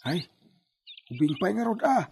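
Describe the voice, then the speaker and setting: A man's voice: sits high, sing-song, moderate pace, gravelly, heavily acted. A man playing an eager, respectful livestock hand in an Ilocano radio drama. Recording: noisy cattle sounds behind. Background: mooing cattle.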